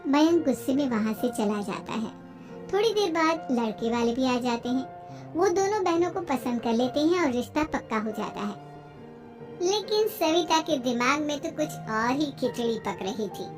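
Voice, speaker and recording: A woman narrating a scripted cartoon story in an expressive, dramatic storytelling manner. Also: high-pitched, normal speed, over music.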